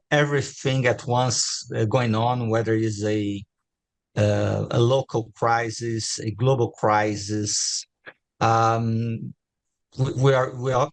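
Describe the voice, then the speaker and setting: A man with a Brazilian accent presenting in a webinar, talking haltingly with many fillers and restarts. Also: medium pitch, no echo. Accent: Brazilian Portuguese. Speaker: webinar co-host, innovation trainer.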